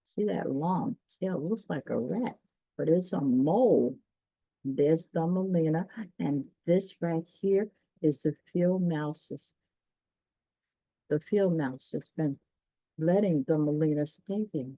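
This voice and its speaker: A woman reading a children's story aloud, pausing dramatically and chatting directly to her listeners. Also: low voice, dry recording. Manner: dramatic pauses, gasps, talks directly to listeners. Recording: dull, dry lo-fi sound.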